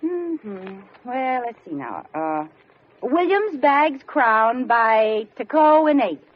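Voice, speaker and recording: Eager stubborn housewife, highish lively voice, vintage radio sitcom, dull noisy recording. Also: female voice, slow, exaggerated voice. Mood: naive, confident. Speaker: actress in a radio comedy. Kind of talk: old-time radio sitcom acting. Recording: noisy old radio recording, studio audience.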